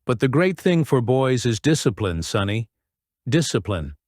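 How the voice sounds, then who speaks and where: A man's voice: mid-range voice, big pitch swings, moderate pace, a little mellow, dry recording, studio quality, close to an everyday voice. A man doing a sly, fawning pirate. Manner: fawning, sneering, drawling. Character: wheedling menacing middle-aged male pirate.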